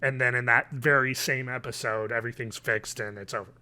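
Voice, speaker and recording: A man, chatting casually and haltingly as a podcast co-host from home. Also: medium pitch, fairly even intonation, sharp tone. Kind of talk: casual review chat. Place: home living room, video call.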